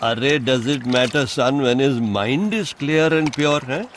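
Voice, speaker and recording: A man's voice: medium pitch, measured pace, slight background noise, close to an everyday voice. An actor with an Indian accent playing a reverent, fussy older male devotee in a radio drama. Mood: insistent. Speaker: actor playing a holy man's devoted host.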